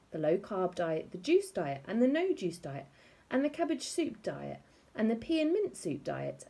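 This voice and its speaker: A woman reading a children's story aloud in a wry, expressive way. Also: fairly low pitch, husky. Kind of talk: animated storybook read-aloud.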